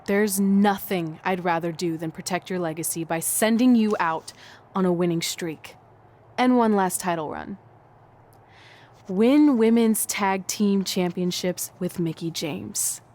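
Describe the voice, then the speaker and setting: A woman's voice: fairly low pitch, unhurried, a little mellow, close to an everyday voice. An actress playing a determined, loyal young woman wrestler, speaking in an earnest, assertive way. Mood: resolute. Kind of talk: scripted game cutscene dialogue.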